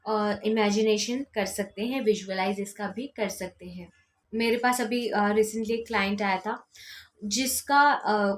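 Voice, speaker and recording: High-voiced Indian woman coaching. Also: a bit bright. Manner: repeats instructions for emphasis, mixes in English words. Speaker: law of attraction coach. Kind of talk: instructional how-to talk to viewers.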